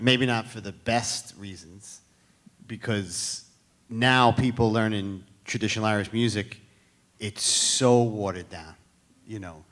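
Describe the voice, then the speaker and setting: A man's voice: lowish voice, fairly bright tone. A man from Brooklyn, a musician on a panel, talking casually with wry, joking asides. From Brooklyn, USA.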